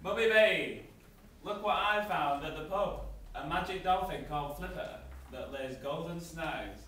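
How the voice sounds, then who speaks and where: A man's voice: a little high, lively intonation, a little slow, bright, forceful tone. A man playing an eager, cheeky boy adventurer full of slang exclamations in an amateur comic puppet show. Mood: excited. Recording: echoey room from far off, faint noise.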